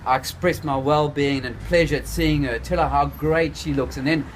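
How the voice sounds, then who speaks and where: A man reading his own novel aloud in the first person, unhurried, lingering on phrases and letting the pace drift between slow and quick. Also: fairly high pitch, rough recording. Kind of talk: first-person literary narration. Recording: steady background noise with passing traffic.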